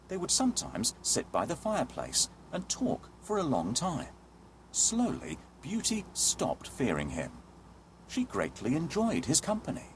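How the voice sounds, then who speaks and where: A man narrating a scripted children's fairy tale as an expressive, dramatic storyteller. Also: fairly high pitch, a little hiss or hum.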